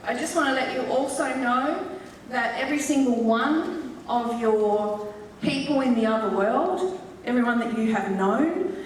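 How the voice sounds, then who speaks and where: A woman's voice: on the high side, a little slow, hardly any pauses. English woman medium in an echoey hall. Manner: chatty, joking, explaining with laughs. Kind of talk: live stage demonstration talk. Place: large echoey festival hall. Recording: roomy hall, far from the mic, faint hiss.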